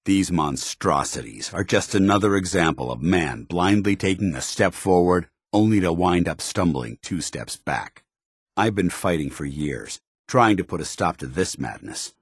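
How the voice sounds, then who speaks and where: A stern video game paladin, with a very low, husky voice that moves expressively. He is zealous and loyal, speaking with clipped military formality in a close, dry recording. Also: animated, normal speed, dry recording, full character voice. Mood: grave. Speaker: companion soldier character.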